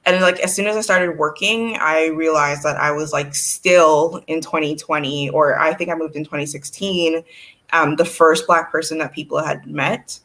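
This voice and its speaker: A woman's voice: low voice, moderate pace. A woman from Toronto with a Canadian accent, talking casually and candidly as an interview guest, often saying "like" and acting out what others say. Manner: candid, animated quoting of others, frequent "like". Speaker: guest, artist and curator. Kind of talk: casual conversational storytelling.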